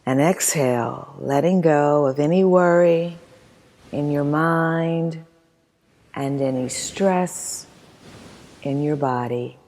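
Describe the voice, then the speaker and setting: A woman leading a guided meditation as instructor, reading in a soothing, measured manner with long pauses. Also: deep voice, a little mellow. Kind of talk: guided meditation, scripted narration. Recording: long hall-like reverb.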